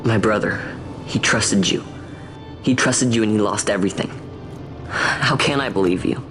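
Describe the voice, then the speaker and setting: A man's voice: on the low side, normal speed, music in the background, close to an everyday voice. A young man voicing a bitter, grieving, hot-headed teenage boy in a video game, blunt and emotional. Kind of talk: video game cutscene acting.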